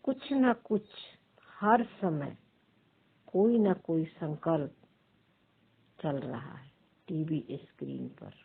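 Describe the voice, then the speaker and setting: A woman leads a guided meditation as a teacher, pausing between short, measured phrases in a husky, creaky voice. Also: deep voice, expressive, slight background noise. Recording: thin phone-like sound.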